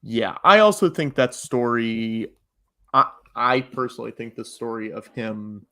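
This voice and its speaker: A man's voice: mid-range voice, measured pace, bright, forceful tone. A man chatting casually as a podcast panelist. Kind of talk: casual chat.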